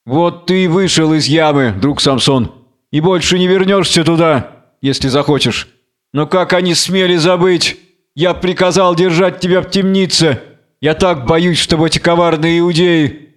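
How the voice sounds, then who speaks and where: A man's voice: on the high side, moderate pace, lightly acted. A man reading the part of a commanding, scheming Philistine lord, imperious and falsely solicitous, in a biblical tragedy. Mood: falsely friendly.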